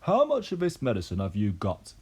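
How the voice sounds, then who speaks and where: A man voicing a small, excitable, bossy father in a children's story, exclaiming and shouting frantically. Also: mid-range voice, takes it slowly. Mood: eager, bossy. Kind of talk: children's storybook character reading.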